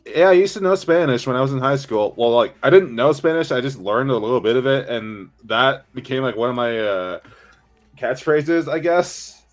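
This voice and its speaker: A man's voice: mid-range voice, lively intonation, normal speed, soft background music. A young man chatting casually with friends on a voice call, hesitant and full of fillers.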